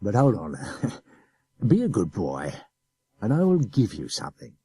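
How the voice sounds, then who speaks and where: A man's voice: fairly low pitch, wildly swooping pitch, measured pace, dark tone, husky, no room sound, full character voice. A man voicing a blustering middle-aged soldier who threatens and chuckles as he bullies a boy. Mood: coaxing laughing. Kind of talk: audiobook character voice.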